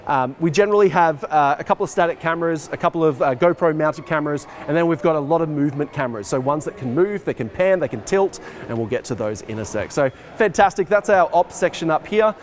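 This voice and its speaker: A man speaks in a fairly high-set voice, walking a viewer through technical details like a teacher. He explains in bits and pieces, informally. The camera mic picks up some light ambient noise from the large indoor hall. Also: expressive, quick pace. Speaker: teacher, head of arts and IT department. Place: large dark school assembly hall, indoors. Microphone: camera microphone a short distance away.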